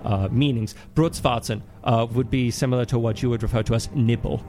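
A man playing a solemn, gloomy German cultural ambassador with a German accent, giving a dramatic comic reading and pedantic deadpan explanations. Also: medium pitch, a little fast, close to an everyday voice. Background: music behind the voice.

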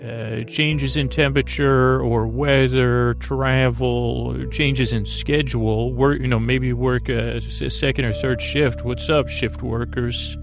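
A man hosting a sleep podcast in a creaky voice, gently reassuring as he rambles, halts, repeats himself and trips over words in a soothing monologue. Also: medium pitch, fairly even intonation, takes it slowly, hardly any pauses, soft background music. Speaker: podcast host.

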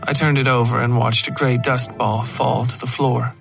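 A man narrates a dark horror story in the first person. His voice sits at a middle pitch, with faint music underneath. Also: flat delivery, normal speed, vocal fry.

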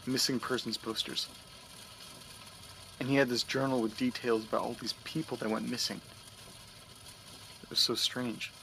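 An actor playing an anxious young man who can't remember the past year, talking in a hushed, stammering way. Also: medium pitch, a little hiss or hum, lightly acted. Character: anxious young man haunted by memory loss. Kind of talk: audio drama acting.

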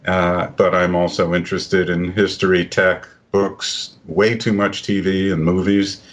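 A man's voice: low-pitched, level tone, measured pace. An older man from the United States, a guest on a podcast, introducing himself with dry jokes. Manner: dry, joking. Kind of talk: casual chat.

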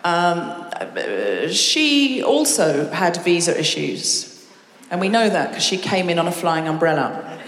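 A woman hosting a live comedy show on stage, teasing and chatting quickly with the audience. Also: on the low side, big pitch swings, slight background noise. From Australia. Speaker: comedy podcast host. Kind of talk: live stand-up crowd work. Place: large concert hall with live audience. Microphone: stage microphone. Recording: roomy hall with long reverb.

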